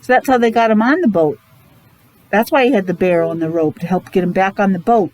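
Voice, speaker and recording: A woman reading a picture book aloud to young children, expressive, adding explanations and questions along the way. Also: mid-range voice, fast talker, a little hiss or hum, rough recording. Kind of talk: animated read-aloud storytelling with asides to young children.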